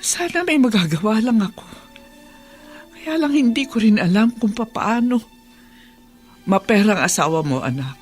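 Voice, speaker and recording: A woman's voice: on the high side, big pitch swings, normal speed, long pauses, soft background music. A woman playing an older, remorseful grandmother in a Tagalog radio drama, emotional and pleading. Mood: worried.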